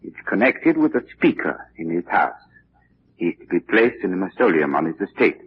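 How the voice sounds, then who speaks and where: An actor playing a polite, easily astonished undertaker in a 1940s radio drama, hesitant and deferential. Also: male voice, low voice, big pitch swings, moderate pace. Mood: earnest, unsettled. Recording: dull, hissy 1940s radio recording.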